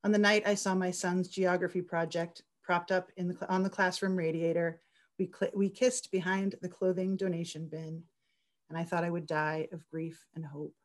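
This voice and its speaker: A woman's voice: fairly low pitch, little pitch movement, normal speed. A woman speaking on a video call as a festival organizer and writer, chatting and reading her essay aloud.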